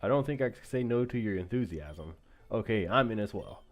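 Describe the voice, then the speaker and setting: A man doing a calm, responsible young student. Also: fairly low pitch, brisk, soft background music, pitched below the performer's own voice, close to an everyday voice.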